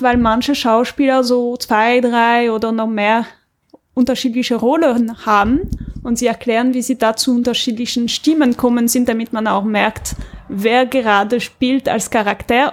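High-voiced woman chatting on a podcast. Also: steady tone, normal speed. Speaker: podcast panelist. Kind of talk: casual review chat.

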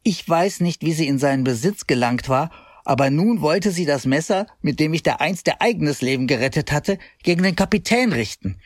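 A man's voice: a little high, animated, moderate pace, few pauses, no room sound, clean studio sound. An actor playing a young crusade survivor recounting his ordeal in a radio play, bitter and agitated. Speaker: narrator.

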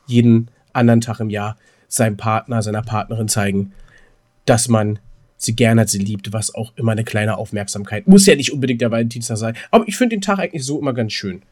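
A man chatting casually as a podcast host, rambling and halting, often repeating his words mid-sentence. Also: on the low side, darkish tone. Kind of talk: casual chat between co-hosts.

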